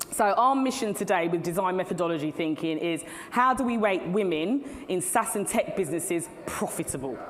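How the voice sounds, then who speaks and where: A woman's voice: lowish voice, slight background noise. A middle-aged woman giving a chatty keynote talk to a live audience, laughing at her own asides. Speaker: keynote speaker. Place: large hall at a tech event. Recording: long hall reverb, faint ambience.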